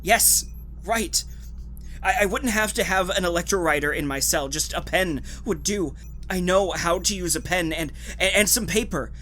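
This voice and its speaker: A man's voice: high-pitched, moderate pace, bright, forceful tone, slight background noise. A man playing a desperate condemned poet in a dystopian audio drama, with an intense, dramatic delivery. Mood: anxious pleading. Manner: intense, pleading, stammering when distressed. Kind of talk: dramatic audio drama acting with first-person narration.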